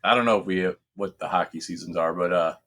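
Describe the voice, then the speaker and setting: A man's voice: sits low, quick pace. A middle-aged man chatting casually as a podcast co-host, rambling and swearing freely as he tells stories. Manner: rambling, profane, animated storyteller. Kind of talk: casual chat with friends.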